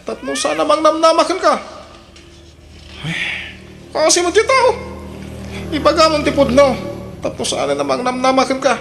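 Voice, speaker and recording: High-pitched young Ilocano man. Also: moderate pace, gravelly, pauses often, a bit bright, faint music behind, heavily acted. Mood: urging. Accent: Filipino, Ilocano. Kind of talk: Philippine radio drama acting.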